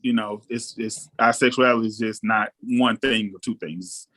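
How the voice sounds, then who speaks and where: A man in his thirties chatting casually on a podcast, thoughtful and relaxed, often pausing with ums. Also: on the low side. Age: 30s. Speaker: guest co-host.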